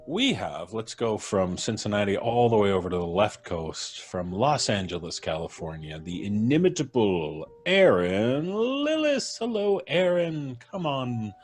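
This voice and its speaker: A man with a deep voice hosts a podcast live stream. He chats casually and introduces guests with mock formality and joking banter. Faint music plays underneath. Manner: jokey, playfully formal introductions.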